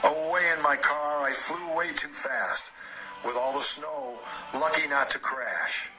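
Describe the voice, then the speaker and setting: An American man reading a rhyming poem as a story, measured, with pauses between short phrases. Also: a little high, poor audio. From Ohio, United States. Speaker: amateur poet. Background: loud music.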